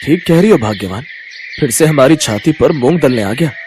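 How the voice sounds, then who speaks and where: A man voicing an excitable, jealous food-stall husband in an animated story, talking in a hurry. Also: mid-range voice, brisk, noisy background, lightly acted. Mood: resentful. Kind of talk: animated moral story acting.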